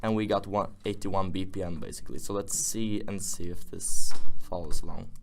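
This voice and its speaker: A man with a lowish voice and very even, flat intonation talks through a software tutorial. He rambles a little, thinking aloud as he demonstrates each step. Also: moderate pace, gravelly, a little hiss or hum. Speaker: music production tutor, host. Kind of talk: unscripted software tutorial narration.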